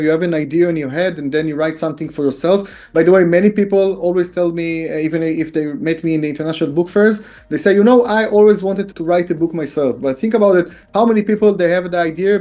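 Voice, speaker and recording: A man's voice: fairly high pitch, fast, few pauses, mellow, dull audio. A man in his forties from Israel, speaking English with an Israeli accent as a guest in an interview, earnest and full of fillers and repeated phrases. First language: Hebrew. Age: 40s. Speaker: guest author and business consultant. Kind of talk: casual interview talk.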